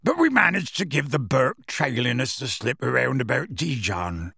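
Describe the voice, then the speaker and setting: Huge rustic half-giant, gravelly, husky, plain-spoken, warm-hearted, audiobook character. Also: male voice, mid-range voice, big pitch swings, no room sound, clean studio sound, full character voice. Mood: storytelling. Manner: folksy, ungrammatical, unhurried storytelling.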